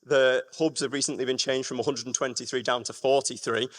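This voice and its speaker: A man's voice: medium pitch, level tone, a little fast. A man from gives a public presentation, addressing the room directly with emphatic phrasing. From Lancashire, England. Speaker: music education manager giving a talk. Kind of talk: public presentation to a live audience. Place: large reverberant room at a live event. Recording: echoey hall with long reverb.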